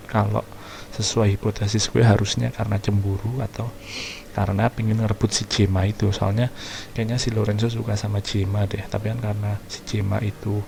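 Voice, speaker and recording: A man's voice: on the low side, gravelly, background noise. A man streaming a video game, chatting casually and recapping the story with lots of slang. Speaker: gaming streamer. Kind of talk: casual let's-play commentary.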